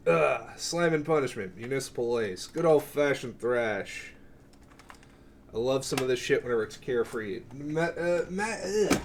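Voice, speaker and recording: A man's voice: medium pitch, slight background noise. A man giving an offhand, rambling show-and-tell as a collector, swearing freely and often interrupting himself. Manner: offhand, profane, self-interrupting. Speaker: music collector presenting a cassette collection.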